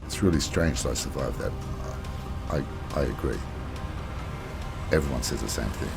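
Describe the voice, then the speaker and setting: A man's voice: extremely low pitch, lively intonation, moderate pace, vocal fry, faint music behind. A man in his sixties with an Australian accent, talking bluntly and with many restarts in a casual interview. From Melbourne, Australia. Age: 60s. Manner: blunt, rambling, restarts often.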